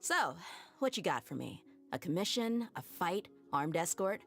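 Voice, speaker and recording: A gravelly, self-assured female mercenary. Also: on the low side, very animated, unhurried, soft background music, exaggerated voice. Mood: cool, confident. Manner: self-assured, laid-back offer of services. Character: confident adult female mercenary for hire. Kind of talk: game character voice acting.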